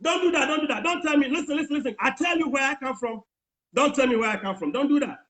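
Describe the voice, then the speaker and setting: A man's voice: extremely high pitch, quick pace, fairly bright tone. A man, debating heatedly on a phone video call and talking over the other person. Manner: insistent, talks over the other, repeats words. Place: bright room indoors. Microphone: phone camera at arm's length, video call.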